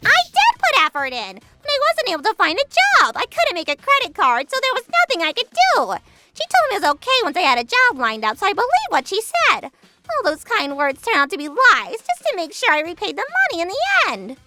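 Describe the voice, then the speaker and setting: A woman's voice: very high voice, wildly swooping pitch, brisk, bright, forceful tone, heavily acted. A woman voicing a young woman who dodges her debts in a dubbed manga drama. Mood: defensive. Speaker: voice actor in a manga dub. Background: background music.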